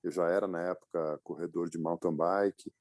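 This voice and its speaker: A Brazilian man in his fifties, a guest telling his life story in a relaxed interview, with frequent fillers and restarts. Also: deep voice, normal speed, no echo, clean studio sound. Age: 50s. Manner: reflective, many fillers and restarts. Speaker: guest, publisher and adventurer.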